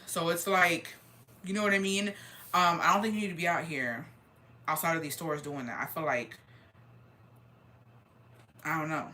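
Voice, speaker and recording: A deep-voiced woman from Texas chatting casually and gossiping on a livestream, slangy and loose, dropping in Spanish phrases. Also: sharp tone. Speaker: livestreamer reading viewer comments.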